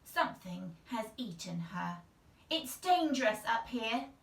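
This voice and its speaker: A woman's voice: fairly high pitch, very animated, measured pace, creaky, a bit bright, reverberant, far from the mic, heavily acted, low-quality recording. A woman voicing a cross, defiant little girl in a children's storybook, grumbling dramatically. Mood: playful, defiant.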